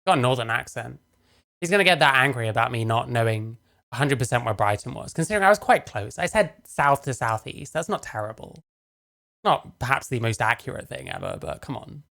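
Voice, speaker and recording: A man's voice: mid-range voice, creaky, fairly bright tone. A man doing casual live stream commentary, talking in a rambling, sarcastic, sweary way. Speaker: streamer reacting to a video.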